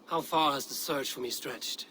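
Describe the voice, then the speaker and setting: A man's voice: medium pitch, normal speed, bright, forceful tone, a little hiss or hum, lightly acted, poor audio. A man playing a proud, blunt Norse warrior in a video game, speaking in terse, formal phrases. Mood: earnest. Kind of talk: dramatic video game acting.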